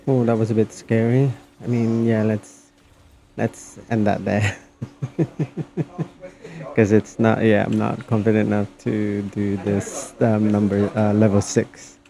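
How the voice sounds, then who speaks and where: A man giving casual, somewhat halting gameplay commentary as he streams. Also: lowish voice, little pitch movement, vocal fry, mellow. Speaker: game streamer. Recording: faint background noise.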